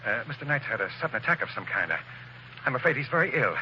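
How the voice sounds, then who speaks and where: A man's voice: mid-range voice, sing-song, fast talker, natural voice. A man playing a wealthy, middle-aged father who is hearty but nervous and laughs it off, in a 1940s radio drama. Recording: dull, noisy old radio recording.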